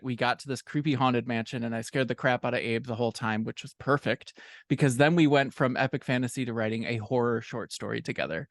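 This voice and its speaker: A man's voice: mid-range voice, dry recording, clean studio sound. A man speaking as a guest author, telling a story in a casual, conversational podcast style.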